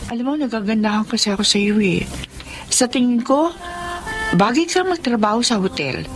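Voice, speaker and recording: A woman's voice: on the high side, expressive, normal speed, natural voice. An actress with a Filipino accent playing a kindly, earnest young mother in a radio drama. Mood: encouraging, earnest. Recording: music bed behind the voice.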